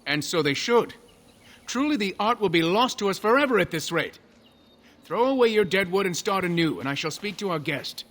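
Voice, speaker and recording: An actor playing a proud, fussy elven master craftsman in a video game, speaking formally and with measured scolding. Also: high voice, animated, a bit bright. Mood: stern, exasperated. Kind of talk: video game character dialogue.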